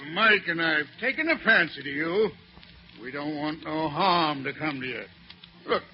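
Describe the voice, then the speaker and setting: An actor playing a crafty, falsely friendly old one-legged soldier who keeps a saloon, with folksy, wheedling speech in an old radio drama. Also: male voice, fairly high pitch. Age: older. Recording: muffled vintage radio broadcast.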